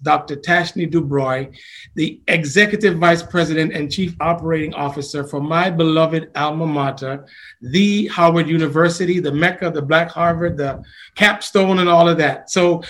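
A man's voice: a little high, fairly bright tone. A man hosting a podcast interview in a formal, courteous way, sometimes tripping over his words. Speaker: podcast host.

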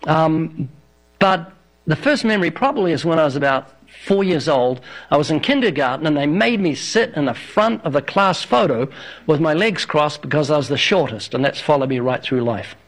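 Older male guest, slight New Zealand accent, raised pitch, witty self-deprecating storyteller. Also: expressive, moderate pace. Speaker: evangelist and author, radio guest. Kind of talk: interview guest.

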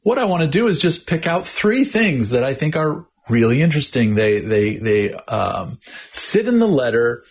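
Husky man explaining ideas thoughtfully. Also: mid-range voice, very animated, normal speed. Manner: thoughtful, explanatory, with frequent restarts. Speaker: podcast co-host. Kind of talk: casual theological discussion.

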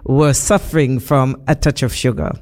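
A woman's voice: rumbling low, normal speed, a little mellow. A woman interviewing a guest, steering the talk back to his early years. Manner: guiding, steering the conversation. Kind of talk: interview questions.

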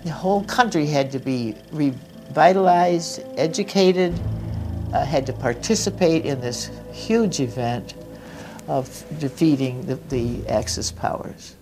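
An older woman who flew during the war, recalling her past in an interview with a measured, reflective manner. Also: low voice, animated, music in the background. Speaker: interviewee, former wartime pilot.

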